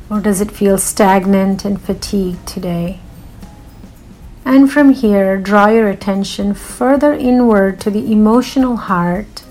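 A woman leading a guided meditation in a soothing, unhurried manner with long pauses. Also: medium pitch, darkish tone, rough recording.

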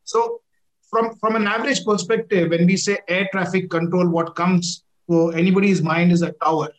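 A man's voice: high-pitched, lively intonation, moderate pace, rough recording. A middle-aged man with an Indian accent hosting a conversational interview in an effusive, wordy manner. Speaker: interview host, former air force officer.

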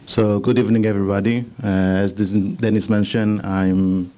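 A middle-aged man from Israel with an Israeli accent, giving a business presentation on patents in measured, slightly halting English. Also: lowish voice, level tone, dark tone.

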